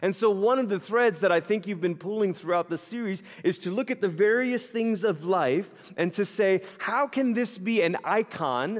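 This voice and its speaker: A man from Malaysia preaching a sermon in a church, telling animated, self-deprecating stories with humor. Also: high-pitched. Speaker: pastor. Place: church sanctuary. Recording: long reverb of a large hall.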